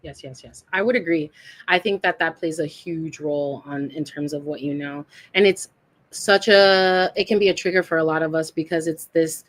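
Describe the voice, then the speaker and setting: Woman podcast co-host, slightly low voice, chatty and animated. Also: normal speed. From Puerto Rican heritage, raised in the US. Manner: animated, chatty, thinks aloud with many likes. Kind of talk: casual panel chat.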